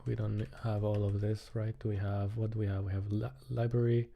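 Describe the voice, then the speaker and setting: A man's voice: fairly low pitch, flat delivery, normal speed, vocal fry, dull audio, slight background noise. A man live coding in a casual way, thinking aloud and muttering hesitantly to himself.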